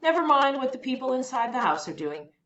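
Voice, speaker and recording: A woman voicing a brisk, bossy but welcoming elevator man in a children's storybook, giving firm instructions. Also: high-pitched, very animated, dull audio, a crowd in the background. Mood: firm instructive. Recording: roomy echo, faint background noise.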